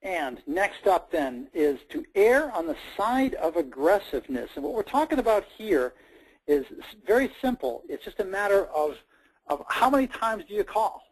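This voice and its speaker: An American man with a high-pitched voice coaches salespeople as host. His delivery is very lively in pitch, conversational and emphatic, built on short punchy statements. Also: normal speed, poor audio.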